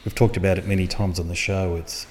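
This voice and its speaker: Low-voiced podcast co-host. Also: male voice, little pitch movement, fast, darkish tone, in a large hall, slight background noise. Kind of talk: casual podcast chat.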